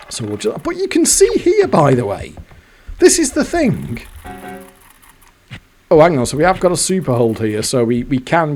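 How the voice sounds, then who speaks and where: Chatty man with a lively voice. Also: fairly high pitch. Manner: chatty, exasperated, swears now and then. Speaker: slot machine video host. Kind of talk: casual gameplay commentary.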